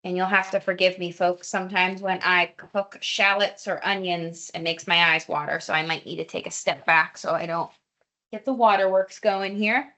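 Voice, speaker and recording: A woman's voice: lowish voice, steady tone, moderate pace, sharp tone. A young adult woman, a dietitian, leading a live online cooking class in a chatty, friendly way with many fillers, recorded over a video call. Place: home or teaching kitchen.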